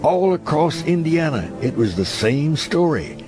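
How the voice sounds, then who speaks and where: A man's voice: on the high side, very animated, measured pace. A man reading a scripted memoir as a narrator, in a nostalgic, reflective storytelling manner. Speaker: audiobook narrator. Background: background music.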